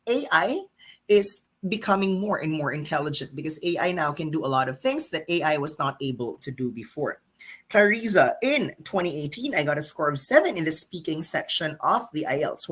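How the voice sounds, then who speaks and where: A woman's voice: fairly low pitch, slightly rough. A woman hosting a live exam-prep stream, reading viewer comments and answering them in an emphatic, teacherly way. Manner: animated, emphatic teacherly delivery.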